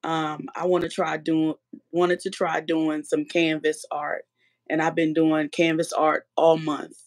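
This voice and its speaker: A woman guest on a livestream, her voice slightly raspy from allergies, relaxed as she talks about her painting. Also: low-pitched, measured pace, no echo, clean studio sound. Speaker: guest artist. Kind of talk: casual livestream chat.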